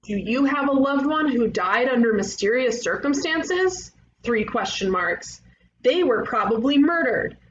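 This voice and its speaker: A woman's voice: a little high, expressive, a little slow, muffled recording. Woman playing brash young cartoon detective, roomy noisy recording. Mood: grandiose pitching. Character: brash, excitable young female ghost detective, donut-loving twin sister. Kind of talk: animated comedy table read.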